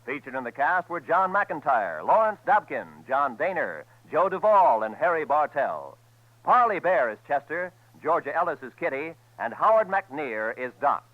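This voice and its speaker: A man's voice: fairly high pitch, very animated, normal speed, dry recording. A man with an American accent reading scripted announcements as a 1950s radio announcer. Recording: narrow-band 1950s radio recording, faint music.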